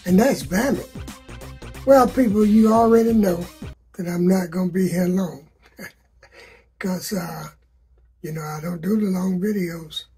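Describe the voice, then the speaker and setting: Hoarse gardener, slow and folksy, very husky mellow voice, talks to viewers outdoors. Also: male voice, high-pitched, faint music behind. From South Carolina. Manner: slow, folksy, repeats sayings to drive a point home. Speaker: gardening vlogger. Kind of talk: casual advice to viewers.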